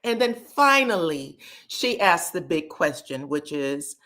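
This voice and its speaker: A woman's voice: sits low, big pitch swings, slow pace, pushed and bright. A woman, chatting casually as she hosts a livestream recap and greets viewers by name. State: slightly scratchy voice. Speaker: reality TV recap livestream host.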